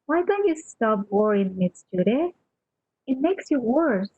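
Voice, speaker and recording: Woman acting slow-paced devoted nurse, slightly muffled. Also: on the high side, sing-song, slightly rough, no room sound, heavily acted. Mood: reassuring. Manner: gentle, reassuring, deferential. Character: faithful, soothing, deferential female nurse hiding bad news. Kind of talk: radio play acting.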